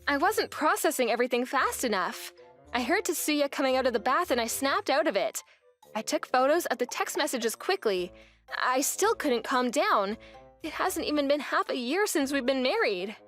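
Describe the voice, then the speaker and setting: A wronged young wife tells her story in a manga dub, acted by a woman. Her voice is very high and swings with indignation and resolve. Light music plays behind her. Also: moderate pace. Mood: shocked. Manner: expressive, indignant. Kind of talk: scripted manga dub narration.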